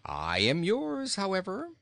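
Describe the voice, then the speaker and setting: An irrepressibly cheerful storybook king, put on by a man, lifted high and swooping merrily up and down. He teases in a chuckling, singsong way. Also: sing-song, measured pace, a bit bright, no echo, studio quality. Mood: cheery, chuckling. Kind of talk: storybook character voice.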